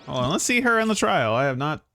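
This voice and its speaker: A man's voice: a little high, very animated, fast talker, gravelly, pushed and bright, a little hiss or hum. A man streaming a game with casual commentary, rambling and thinking aloud in an informal way. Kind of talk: casual livestream gameplay commentary. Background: faint game music.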